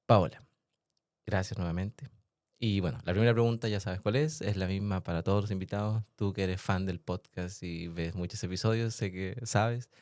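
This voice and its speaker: A chatty man hosting a podcast, with a very low voice, recorded dry and close. He talks casually and laughs easily between his questions. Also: fairly even intonation, darkish tone, dry recording. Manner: chatty, laughs often, quick asides. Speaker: podcast host and massage therapist. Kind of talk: casual interview chat.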